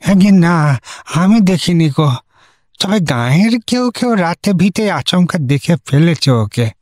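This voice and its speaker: A man's voice: sits high, lively intonation, a little mellow, no room sound, studio quality. An actor playing a simple, rambling, deferential village man in a comic radio drama, with a rural West Bengal accent and a hesitant, roundabout manner. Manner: roundabout, hesitant, drawn-out rustic talk. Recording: music behind the voice.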